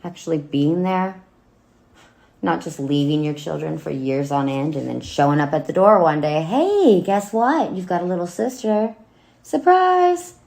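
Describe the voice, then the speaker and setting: A woman acting a wounded, bitter grown daughter in a dramatic monologue, speaking haltingly and emotionally with a gravelly voice. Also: deep voice, steady tone, a little mellow. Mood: wounded, resentful. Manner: halting, emotional, with wry laughs and swearing. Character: bitter, wounded grown daughter addressing her dead mother. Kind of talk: dramatic monologue cold read.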